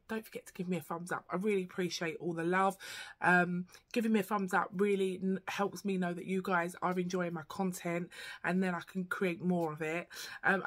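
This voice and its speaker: Bright-voiced woman with a cold. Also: on the low side. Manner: gentle, chatty, many ums. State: congested and quiet with a cold. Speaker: YouTube fashion vlogger. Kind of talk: casual chat to camera. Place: bedroom at home, indoors. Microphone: camera at close range.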